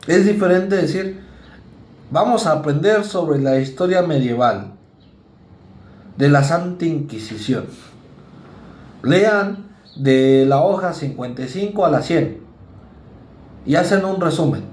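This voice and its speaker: A male university lecturer with a Mexican accent, giving a conversational psychology class and acting out students and parents in quick asides. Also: on the high side, animated, a little mellow, echoey room. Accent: Mexican Spanish.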